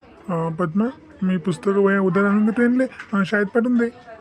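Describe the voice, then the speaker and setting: A man's voice: high-pitched, moderate pace, natural voice. A man voicing a loving, worried father of a poor village family in a moral-story cartoon, earnest and insistent, with a Varhadi Marathi accent.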